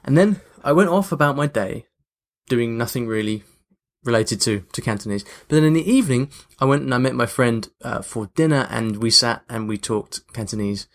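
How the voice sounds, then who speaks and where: A man's voice: medium pitch, normal speed. Male podcast host, casual solo talk, mid-range voice, reflective with emphatic points. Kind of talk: casual chatty monologue to listeners.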